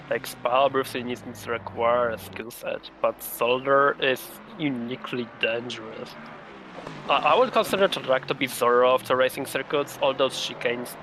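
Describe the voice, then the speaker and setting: A man's voice: mid-range voice, sharp tone, a little hiss or hum, poor audio. A man commentating a sim race, reading out team standings and stumbling over driver names.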